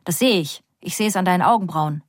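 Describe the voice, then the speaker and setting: A woman narrator voicing a nosy, stern woman in a mosque who questions and scolds a stranger in a quick, know-it-all way. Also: lowish voice, no room sound, studio quality, close to an everyday voice. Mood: scolding.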